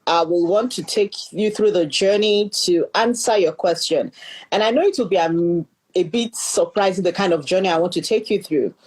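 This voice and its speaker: A woman's voice: fairly low pitch, normal speed, darkish tone, slightly rough, rough recording. A middle-aged Nigerian woman, a leadership coach, earnestly telling her life story as a podcast guest and often repeating words as she thinks. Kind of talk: storytelling in an interview.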